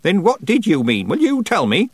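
A man's voice: high-pitched, huge pitch swings, slightly rough, no echo, pitched above the performer's own voice, full character voice. A man doing a severe ancient witch. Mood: solemn, probing. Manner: slow, severe, lecturing. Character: stern, solemn very old woman witch.

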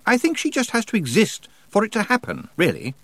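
A man voicing a bookish, proper witch schoolmistress with a careful, halting manner. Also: high voice, huge pitch swings, fairly bright tone, dry recording, pitched above the performer's own voice, full character voice. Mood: matter-of-fact.

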